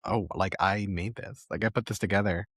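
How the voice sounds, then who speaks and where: A man's voice: sits low, fairly even intonation, a little fast, a bit bright, slightly rough, dry recording, studio quality. A man hosting a casual interview, speaking in an encouraging, affirming conversational way. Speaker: podcast host, interviewer.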